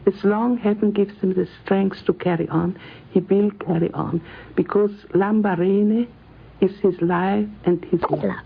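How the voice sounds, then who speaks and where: Very husky, creaky woman on tinny radio. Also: mid-range voice, steady tone, a little slow. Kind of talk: earnest personal tribute. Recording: tinny, phone-like 1950s radio recording.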